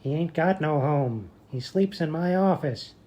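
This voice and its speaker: A woman as a low, mellow, deadpan doctor. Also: unhurried, dull audio. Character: brusque, absurdly matter-of-fact hospital doctor. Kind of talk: radio comedy acting.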